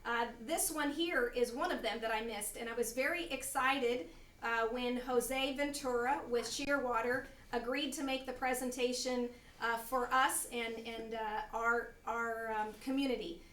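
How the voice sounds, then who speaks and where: A woman host introduces the evening's speaker in a high voice, hesitant but enthusiastic. She sounds distant from the microphone in an echoey room. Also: fairly even intonation, unhurried, fairly bright tone, slight background noise.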